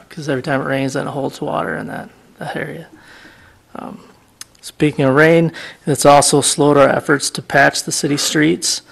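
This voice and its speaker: A man, the public works director, giving a steady report with many ums at a council meeting in a city council chamber. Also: on the high side, vocal fry.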